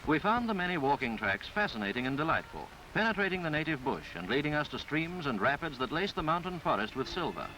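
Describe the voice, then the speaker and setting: A man reading a scripted 1950s newsreel travelogue in a formal, florid, measured way. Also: fairly high pitch, very animated, rough recording. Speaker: newsreel narrator. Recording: 1950s film soundtrack with background music. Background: music.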